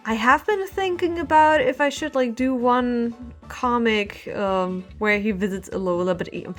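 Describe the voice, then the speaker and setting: A woman giving casual Q&A answers to her viewers as a webcomic artist, in an informal, animated and emphatic way. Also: on the high side, measured pace, faint music behind. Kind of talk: casual Q&A vlog chat.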